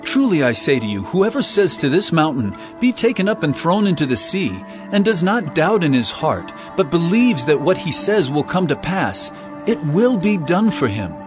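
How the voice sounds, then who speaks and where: An actor playing Jesus as a calm, authoritative teacher in a dramatized scripture reading, measured and solemn. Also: male voice, fairly high pitch, over music.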